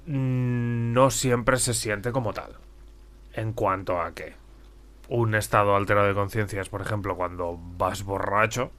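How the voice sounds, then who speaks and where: A man's voice: on the low side, a little slow, a bit bright. A man from Spain with a slightly stuffy, congested nose, chatting casually as a podcast co-host. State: mild head cold. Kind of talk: casual conversational podcast chat.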